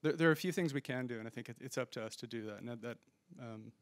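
A man's voice: medium pitch, fast talker, no room sound. A man on a conference panel, one of its organizers, speaking thoughtfully and hedging into a handheld microphone. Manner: thoughtful, hedging, frequent fillers and restarts. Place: conference room stage.

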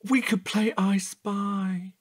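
A man's voice: sits high, level tone, takes it slowly. An actor playing a playful, easily bored, childishly eager man in an audio drama, indignant and teasing. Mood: playful, pleading.

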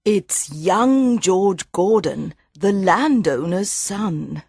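A man voicing an old, wise mother mare who gently teaches her colt, speaking slowly in a lifted voice with a slightly breathy tone. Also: high-pitched, sing-song, no echo, clean studio sound, pitched above the performer's own voice, heavily acted. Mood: sad. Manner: gentle, slow, instructive.

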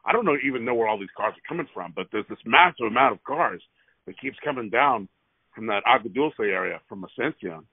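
A man's voice: lowish voice, lively intonation, a little fast, creaky, husky, no echo. A man calling a transportation office from his car to complain about traffic, rambling and hesitant but pressing his point. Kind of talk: phone call. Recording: tinny phone line, dry.

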